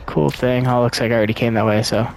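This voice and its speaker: A soft-spoken man giving casual let's-play commentary into a desktop microphone, thinking aloud with lots of ums and uhs. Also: medium pitch, steady tone, fast talker, vocal fry, slight background noise. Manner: rambling, thinking aloud with many fillers.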